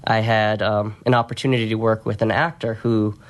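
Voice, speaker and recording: Male coach as interview guest: lowish pitch, quiet delivery, reflective and conversational. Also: level tone, brisk. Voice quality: quiet, soft-spoken. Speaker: podcast guest, strength and conditioning coach.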